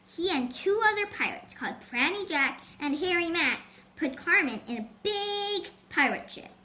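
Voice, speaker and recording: High, bright, animated female storybook reader. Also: normal speed. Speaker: audiobook author and reader. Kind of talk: scripted children's story narration. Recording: faint background noise.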